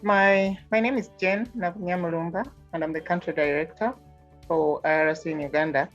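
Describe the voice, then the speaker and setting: A woman, a country director, explaining in a measured way with some fillers. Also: on the low side, fairly even intonation, dull audio, soft background music. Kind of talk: recorded video statement.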